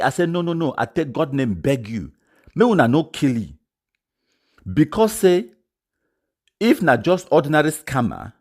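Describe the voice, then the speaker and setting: Male online commentator, Cameroonian accent, mid-pitched and very lively in intonation, emphatic informal storyteller. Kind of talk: informal storytelling commentary in Pidgin mixed with English.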